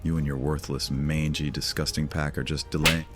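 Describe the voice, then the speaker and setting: A man's voice: rumbling low, flat delivery, moderate pace, loud music behind the voice. An actor playing a menacing, mocking male vampire lord who speaks with a sneering, threatening manner. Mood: taunting. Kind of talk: audio drama roleplay.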